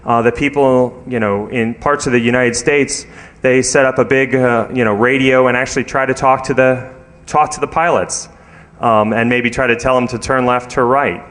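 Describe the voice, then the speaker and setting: A man speaking at a conference in an informal, halting way, with lots of filler phrases as he explains technical matters and takes questions. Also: medium pitch, fairly bright tone. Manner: hesitant, halting delivery with many filler phrases. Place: conference room, speaking to an audience.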